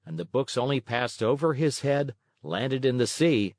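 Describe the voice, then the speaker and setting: A man narrating a scripted children's story in an expressive, dramatic manner. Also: medium pitch, normal speed, no room sound, studio quality.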